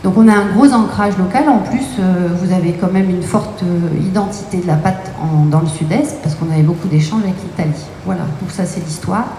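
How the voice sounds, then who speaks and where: A woman with a low, mellow voice explains her business at length, pausing often on fillers. The sound is roomy, with a long reverb and faint noise behind her. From Brittany, France. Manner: explanatory, many hesitation fillers. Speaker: guest, company co-owner. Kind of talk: radio interview answers. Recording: echoey room with faint noise.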